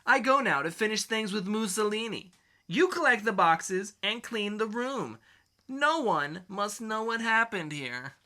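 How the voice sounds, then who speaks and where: Comic-book villain played by a man: whiny male dictator, pitched high, swooping, pompous. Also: expressive, a little slow, pushed and bright, exaggerated voice. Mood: ranting, gloating. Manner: melodramatic, pompous. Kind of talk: amateur comic dramatic reading of a comic book.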